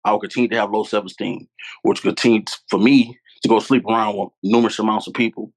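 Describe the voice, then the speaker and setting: Earnest young man, lowish voice. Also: level tone, fast talker, rough recording. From Louisiana, US. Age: 20s. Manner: earnest, plain-spoken, repeats points for emphasis. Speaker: podcast guest. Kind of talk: candid conversational storytelling.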